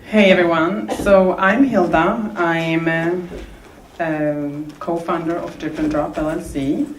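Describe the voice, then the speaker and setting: A woman's voice: on the low side, fairly even intonation, slow, slight background noise. A woman co-founder presenting her company in a casual, slightly hesitant way. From Uganda, raised in Sweden. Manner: casual, hesitant, self-correcting. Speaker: co-founder, contestant. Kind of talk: pitch presentation. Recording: echoey hall recorded from far off.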